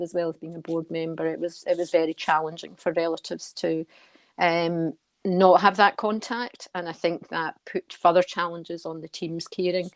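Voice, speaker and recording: Low-voiced, even-toned woman being interviewed. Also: no room sound. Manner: measured, earnest, halting with repeated words. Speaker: guest, care charity board member. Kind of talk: radio interview answers.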